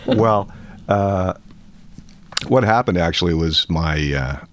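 A man in his thirties, born in Ireland with a Canadian accent, chatting as an interview guest in a relaxed, thoughtful way with many fillers. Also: low voice, slow pace, no room sound. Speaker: poet guest. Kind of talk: casual interview conversation.

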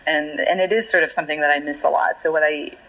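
A woman whose first language is Hebrew, an author speaking in an interview in a thoughtful, hesitant way with many pauses and restarts. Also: low voice, steady tone, fairly bright tone. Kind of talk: reflective conversational interview. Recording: dull lo-fi remote audio.

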